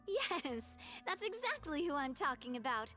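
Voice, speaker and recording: A woman's voice: high-pitched, big pitch swings, normal speed, pushed and bright, faint music behind, full character voice. A young woman voicing a friendly, assured gang leader in a video game, speaking earnestly.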